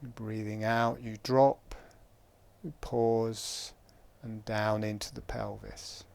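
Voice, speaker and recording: A man guiding a breathing meditation, giving calm, gentle instructions with long pauses. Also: lowish voice, vocal fry. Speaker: meditation guide.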